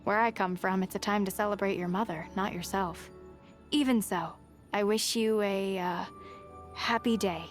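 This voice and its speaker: An actress playing a guarded, resolute young huntress in a video game, plain-spoken and understated. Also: female voice, medium pitch, fairly even intonation, normal speed, faint music behind, natural voice. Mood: reflective, guarded. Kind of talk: video game character voice lines.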